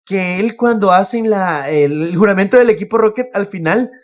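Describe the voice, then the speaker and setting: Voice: medium pitch, moderate pace, muffled recording. A podcast host, chatting casually and telling anecdotes, often acting out what others said. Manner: acts out quoted remarks.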